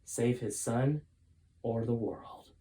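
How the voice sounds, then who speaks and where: Expressive man reading a story aloud. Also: mid-range voice, slow pace. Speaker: author reading own book aloud. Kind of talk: storytime narration, dramatic reading.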